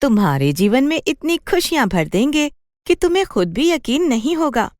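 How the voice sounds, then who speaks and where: A kindly magical pumpkin vine played by a woman speaks in a high, very lively voice with a dry, close sound. It is cheerful and reassuring, pleading sweetly and eager to help, in the style of a children's cartoon. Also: normal speed, no echo. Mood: happy, promising. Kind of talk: children's cartoon story voice acting.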